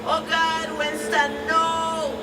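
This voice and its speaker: A woman's voice: extremely high pitch, little pitch movement, extremely slow, roomy sound, far from the mic, over loud music, heavily acted, poor audio. An actress in a radio drama playing an anxious, protective mother who pleads and scolds. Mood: frantic pleading.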